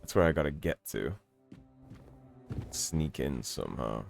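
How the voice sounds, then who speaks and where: A man with a slightly croaky voice from a sore throat, commentating his gameplay in a casual, wry way. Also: extremely low pitch, a little slow, faint music behind. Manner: relaxed, wry, self-mocking. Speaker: let's play host.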